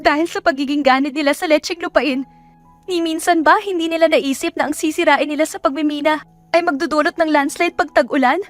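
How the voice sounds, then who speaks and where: A woman's voice: sits high, fairly even intonation, a little fast, soft background music, voice pushed up, lightly acted. A woman voicing a distressed, defiant young provincial woman in a dramatic audio story, earnest and indignant. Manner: pleading, rising into angry outbursts.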